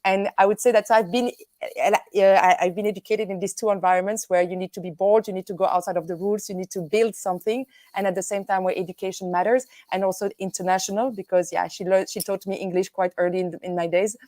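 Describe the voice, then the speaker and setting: A woman's voice: mid-range voice, steady tone, quick pace, a little mellow, no echo. A woman in her thirties from Belgium, a native French speaker, talking energetically as a guest in a live video interview, laughing often and using many fillers. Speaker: guest, tech company executive.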